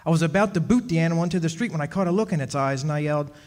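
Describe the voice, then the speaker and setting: An actor playing a wry, centuries-old henpecked husband of a witch in a radio play, telling his story in measured dramatic style. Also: a little high, a little mellow, lightly acted. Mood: wry, reminiscing. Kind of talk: dramatic first-person radio play narration. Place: stage performance in a community club hall. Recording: echoey hall, music behind.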